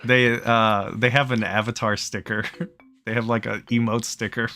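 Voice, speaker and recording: A man's voice: fairly low pitch, flat delivery, moderate pace, sharp tone, soft background music. A man hosting a playthrough, reading the game's story aloud and commenting casually on it. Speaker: let's play host reading the game's text aloud.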